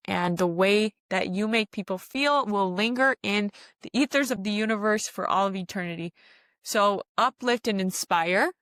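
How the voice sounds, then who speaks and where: A woman's voice: mid-range voice, a bit bright, dry recording. Woman podcaster, earnest and dry-sounding. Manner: earnest, exhortative, repeats phrases for emphasis. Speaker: podcast host. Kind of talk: spiritual self-help podcast monologue.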